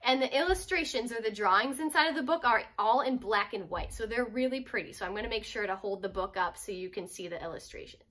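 Young woman teacher reading a picture book to kids, crisp bright voice, explains stuff simply. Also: fairly high pitch, fast, hardly any pauses. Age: young adult. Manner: simple, child-directed explaining and questioning. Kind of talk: children's storybook read-aloud with explanatory asides. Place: furnished room at home, indoors.

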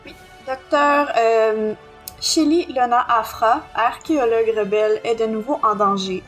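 Woman podcast cohost reading out a book summary, highish voice, little stumbles, music behind. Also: unhurried. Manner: careful reading with small stumbles. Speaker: podcast co-host. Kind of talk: reading a publisher's blurb aloud.

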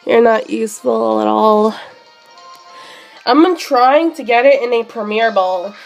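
A young woman streaming a handheld game, sick and losing her voice, chatting excitably with many fillers. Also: on the high side, slow, creaky, faint music behind. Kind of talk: live shiny hunting commentary.

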